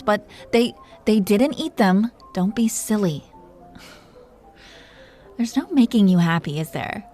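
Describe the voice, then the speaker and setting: Dragon queen conqueror, played by a woman: flirtatious, possessive, commanding, mid-pitched, intimate roleplay audio with music underneath. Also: mellow, lightly acted. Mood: teasing, reassuring. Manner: teasing, commanding, flirtatious with pet names.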